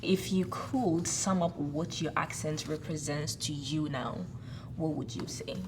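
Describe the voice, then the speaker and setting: A woman's voice: deep voice, measured pace, background noise. A woman hosting a casual interview, speaking in an earnest, encouraging way. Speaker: host.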